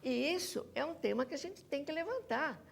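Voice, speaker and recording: Female panel guest, Brazilian accent. High, gravelly voice, insistent academic delivery. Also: animated, a little fast. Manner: emphatic, insistent, repeats points. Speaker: academic guest, researcher and author. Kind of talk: panel interview discussion.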